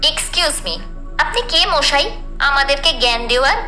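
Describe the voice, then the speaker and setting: A woman plays Love itself in an audio drama, earnest and quick to take offence. The voice is high and sharp, dramatic and pointed, over soft music. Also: poor audio. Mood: questioning. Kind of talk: scripted audio story dialogue.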